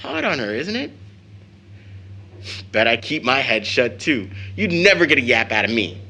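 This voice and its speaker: An actor playing a proud, teasing teenage son in an audio drama of an early 1900s play, with an American accent and a theatrical, boyish manner. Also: male voice, medium pitch, big pitch swings, normal speed, pushed and bright, full character voice. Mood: confiding, indignant. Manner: theatrical, jokingly pompous with slangy boyish talk.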